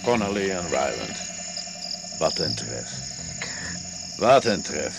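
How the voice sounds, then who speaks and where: An actor playing a cool, sardonic deserter in a radio drama, wry, casual and self-assured. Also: male voice, extremely low pitch, big pitch swings, slow, vocal fry, heavily acted. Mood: wry, composed. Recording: very noisy old radio play recording.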